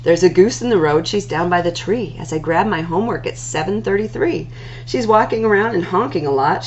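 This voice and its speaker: A woman hosts a children's storytime, reading rhyming stories with a singsong lilt and stretched-out words. Her pitch swings widely and her voice has a gravelly creak. There is a faint hum and light noise in the recording. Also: dull audio. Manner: expressive, singsong delivery with drawn-out words. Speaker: library storytime host. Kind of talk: animated read-aloud of rhyming picture books.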